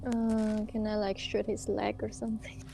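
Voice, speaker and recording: A woman's voice: medium pitch, monotone, normal speed, creaky, warm tone, soft background music. A woman playing a tabletop roleplaying game, chatting casually in a hesitant, tentative way. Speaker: player.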